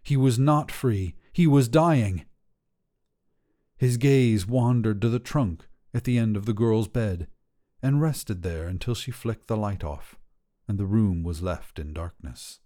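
A man reading a short story as its narrator, with a measured, literary storytelling delivery. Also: lowish voice, dark tone. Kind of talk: scripted fiction narration, storytelling.

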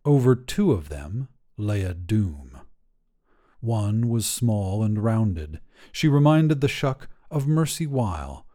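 Slow, mellow male story narrator. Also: fairly low pitch. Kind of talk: scripted literary fiction narration.

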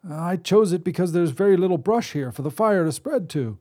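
A man's voice: high-pitched, animated, normal speed, mellow, pitched above the performer's own voice. A man voicing an old male wizard with a reedy voice who talks politely and apologetically. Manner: polite, contrite, eager to please. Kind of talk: storybook reading.

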